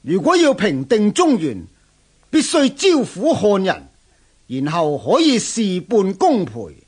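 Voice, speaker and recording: High-pitched, husky, earnest general. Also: male voice, wildly swooping pitch, takes it slowly, darkish tone, exaggerated voice. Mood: earnest, persuasive. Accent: Hong Kong Cantonese. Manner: respectful, earnest, declamatory. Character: deferential, earnest Chinese general advising his lord. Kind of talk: historical radio drama acting.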